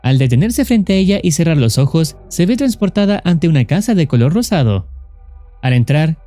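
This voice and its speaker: Lively, mellow male narrator. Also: fairly high pitch, no room sound. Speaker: narrator of a horror series recap. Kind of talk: scripted narration, explaining a story.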